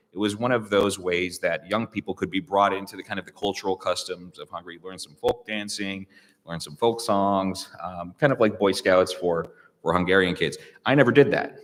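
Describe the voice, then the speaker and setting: A man's voice: low-pitched, moderate pace. A man from the United States, a guest speaker, talking in a reflective, hesitant way with many fillers and restarts in a public interview. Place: public hall. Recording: long reverb of a large room.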